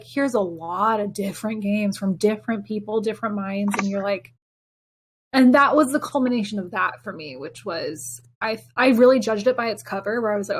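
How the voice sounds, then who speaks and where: A woman co-hosting a podcast, chatting casually about video games and telling rambling stories with acted-out quotes. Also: mid-range voice. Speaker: podcast co-host.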